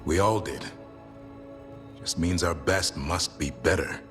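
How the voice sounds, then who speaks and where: Very low, blunt fighter. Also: male voice, slow pace, fairly bright tone. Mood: resolute. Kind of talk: video game voice acting. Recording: faint music behind.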